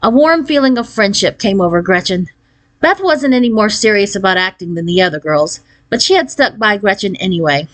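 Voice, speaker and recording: A woman narrates a children's book in a mid-pitched voice. She reads as a storyteller, giving expression to scripted prose. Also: expressive, brisk. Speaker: storyteller reading a children's novel aloud.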